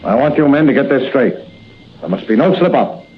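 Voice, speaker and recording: A man's voice: mid-range voice, animated, a little fast, lightly acted. A man playing a menacing crime boss on old radio. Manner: menacing, clipped commands. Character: gruff crime boss giving orders. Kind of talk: 1940s radio acting. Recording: tinny old radio broadcast, faint hiss.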